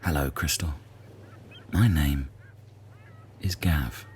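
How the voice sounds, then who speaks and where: Man doing gruff, very deep Gav. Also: slow pace, slight background noise. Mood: flat. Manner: dry, pedantic. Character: deadpan grumpy male islander Gav.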